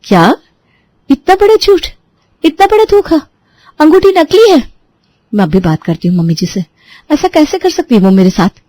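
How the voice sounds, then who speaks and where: A woman's voice: mid-range voice, sing-song, a little fast, mellow, no echo. A woman voicing a bold young bride who talks in an indignant, slyly teasing way. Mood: outraged. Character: young new bride, bold and sharp-tongued.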